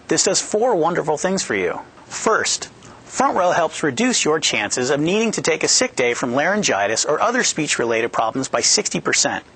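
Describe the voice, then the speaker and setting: A man's voice: on the high side, fairly bright tone. A man in his thirties, a product manager, giving a scripted, upbeat training presentation on a studio set, speaking into a headset mic. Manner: upbeat, jokey, clearly explaining steps. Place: studio set with a yellow backdrop. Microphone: headset boom mic.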